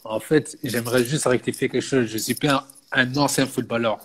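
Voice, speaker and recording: A man's voice: medium pitch, fairly quick, slightly rough. A man in his thirties from Belgium, a personal fitness coach, talking casually in an interview and often tagging on "you see" and restarting phrases. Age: 30s. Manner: relaxed, frequent filler "tu vois" and restarts.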